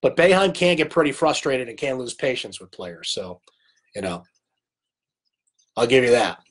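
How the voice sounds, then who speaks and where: A man's voice: mid-range voice, very animated, moderate pace. A man hosting a live sports recap stream, casual and opinionated, answering viewer comments while losing his voice to a cold. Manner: chatty, opinionated, reads viewer comments aloud.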